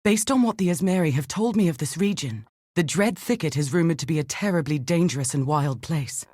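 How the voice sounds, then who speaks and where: An actress playing an earnest, embittered defector from a dark imperial legion in a fantasy game, speaking in an articulate, formal, grave manner. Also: female voice, sits low, expressive, a little fast, dry recording, clean studio sound. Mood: sombre, pensive. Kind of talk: dramatic fantasy game dialogue.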